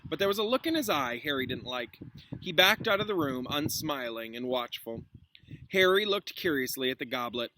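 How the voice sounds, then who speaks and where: Male schoolteacher, bright voice, mid pitch, informal read-aloud with chatty asides, slight background noise. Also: animated, moderate pace, rough recording. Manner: informal, interjects explanations and reactions. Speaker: schoolteacher reading aloud to class.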